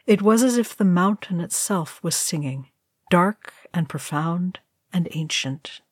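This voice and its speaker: A woman's voice: on the low side, lively intonation, unhurried, breathy edge, dry recording, clean studio sound. A woman narrating a scripted fantasy story as a storyteller. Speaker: reader of a fan fiction story.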